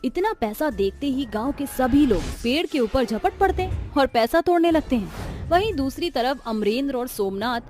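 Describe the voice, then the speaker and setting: A woman's voice: high-pitched, expressive, normal speed, darkish tone, soft background music. A woman giving scripted storybook narration as the narrator of a tale.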